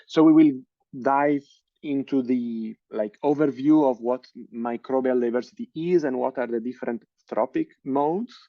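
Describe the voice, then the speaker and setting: A man's voice: medium pitch, normal speed, no room sound, muffled recording. A man in his thirties with an Italian accent, giving an online science lecture with many hesitant fillers and restarts. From Italy. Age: 30s.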